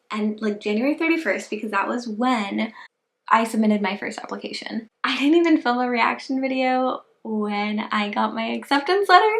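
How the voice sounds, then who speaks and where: A young woman talking casually into her phone in a vlog diary, chatty, with lots of "like" fillers, nervous and excited. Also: on the high side. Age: young adult. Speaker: vlogger applying to grad school.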